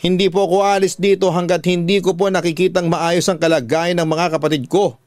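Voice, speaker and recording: Man voicing an angry, defiant Filipino daughter, raised pitch. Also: flat delivery, fairly quick, darkish tone, no room sound, studio quality, natural voice. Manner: threatening, confrontational. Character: angry, defiant grown daughter, fiercely protective of siblings.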